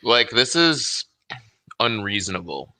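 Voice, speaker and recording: A man with a low but strikingly bright, hard-edged voice co-hosts a casual livestream. His talk is slangy and informal. The recording is dry, free of echo. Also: sing-song, takes it slowly. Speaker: livestream co-host.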